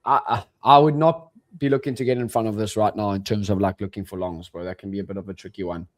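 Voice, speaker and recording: A man with a South African accent talks casually on a live trading stream. His delivery is relaxed and conversational, full of quick agreements and "bro". He sounds like a regular co-host checking in with his partner. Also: on the low side. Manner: relaxed, says bro and yeah often.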